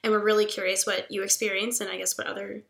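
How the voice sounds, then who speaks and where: A young woman from Pittsburgh, a veterinary student, chatting casually on a podcast with a raspy, hoarse voice from a cold. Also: mid-range voice, quick pace. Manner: chatty, animated, laughs often.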